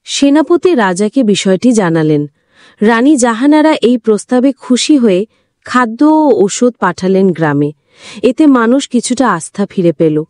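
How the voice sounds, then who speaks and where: Woman reading a cartoon story, kinda high voice, dry close sound. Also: unhurried, no room sound. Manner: even, didactic storytelling. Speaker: narrator. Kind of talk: scripted storybook narration.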